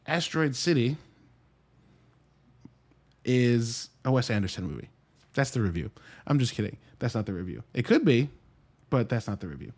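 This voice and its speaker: A man's voice: mid-range voice, expressive, moderate pace, long pauses, a little mellow. Wry man reviewing movies casually. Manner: conversational, wry, self-interrupting with dry asides. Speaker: podcast host and movie reviewer.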